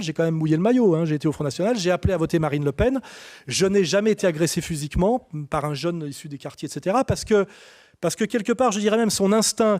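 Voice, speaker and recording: A man's voice: fairly high pitch, a little mellow. A man arguing in casual speech, hesitant and often repeating words mid-sentence. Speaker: political commentator. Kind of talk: casual spoken argument.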